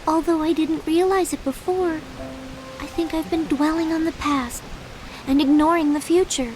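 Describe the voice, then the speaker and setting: A soft, sincere, high young girl's voice, as a woman acts her out in a game cutscene. She speaks in a thoughtful, tender way. Clear music plays underneath. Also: fairly even intonation, moderate pace, close to an everyday voice, rough recording. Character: gentle earnest young girl who uses magic.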